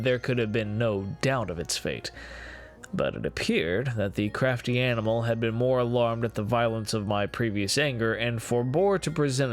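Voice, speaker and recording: A man's voice: lowish voice, close to an everyday voice. A man acting a gloating, unhinged gothic murderer. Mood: brooding anger. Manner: theatrical, ornate, deliberate phrasing with swelling emphasis. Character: gloating, unhinged male murderer confessing his crime. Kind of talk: dramatic gothic horror reading.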